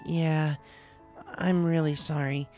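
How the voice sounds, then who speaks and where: A young runaway pegasus mare acted by a man in a raised pitch. The cartoon pony talks hesitantly, awkward and guarded but blunt, with music behind the voice. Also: little pitch movement, takes it slowly, darkish tone. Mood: sheepish, apologetic.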